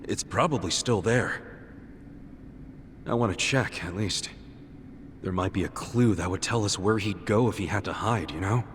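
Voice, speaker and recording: An actor playing an earnest, stubborn, cheeky yakuza hero in an English game dub, casual and plain-spoken. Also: male voice, lowish voice, normal speed, long pauses, a little hiss or hum, natural voice. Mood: casual, thoughtful. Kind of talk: English video game voice acting.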